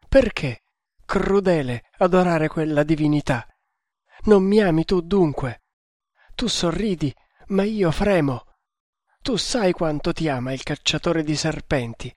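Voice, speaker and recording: A man's voice: fairly high pitch, no echo, clean studio sound. A man voicing a delirious wounded hunter, frantic and menacing, in a theatrical raving manner for an adventure audiobook. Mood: anguished delirium. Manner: raving, theatrical, swinging into shouts. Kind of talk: dramatic adventure audiobook reading.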